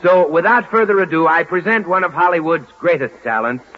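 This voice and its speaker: Higher-pitched American radio announcer, a man. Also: brisk. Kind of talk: 1940s radio announcing, formal war bond appeal. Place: auditorium stage. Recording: muffled, hissy 1940s radio broadcast.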